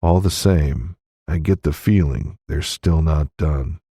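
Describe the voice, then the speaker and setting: A man's voice: very deep voice, slow pace, warm tone, slightly rough, no echo, studio quality, full character voice. A man voicing a wary, shrewd Old West drifter with serious, drawling frontier talk in an audiobook story. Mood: grim, wary.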